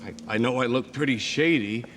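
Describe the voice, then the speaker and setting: An actor playing a shady, wisecracking adventurer in a game cutscene, chatty and sarcastic. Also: male voice, mid-range voice, normal speed, fairly bright tone, a little hiss or hum, natural voice. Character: wisecracking shady male adventurer, middle-aged. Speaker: game character.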